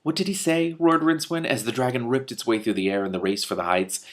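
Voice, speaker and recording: Man reading a fantasy novel aloud, low voice. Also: quick pace. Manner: expressive reading with amused asides. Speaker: reader and commentator.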